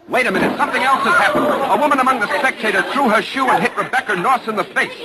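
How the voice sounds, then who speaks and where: A man's voice: very high voice, quick pace, a loud crowd. A man playing an urgent live radio reporter, giving clipped, dramatic commentary in 1940s news style. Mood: excited, alarmed. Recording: faintly noisy old radio broadcast.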